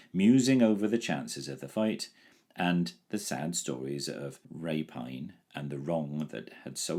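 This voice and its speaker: A man reading a classic children's story aloud as a teacher, in an expressive, clear manner. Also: very deep voice.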